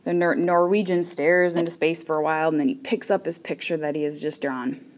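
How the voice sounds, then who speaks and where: A woman with a low voice tells a joke in a chatty, self-deprecating way. She drops to near-whispers while she draws. Faint noise sits in the background. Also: flat delivery, a little fast. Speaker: joke teller. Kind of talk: casual storytelling of a joke.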